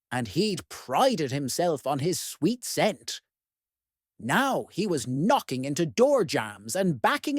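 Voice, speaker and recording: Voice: medium pitch, dry recording, studio quality. A storyteller reading a children's picture book aloud expressively, addressing young listeners. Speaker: read-aloud host.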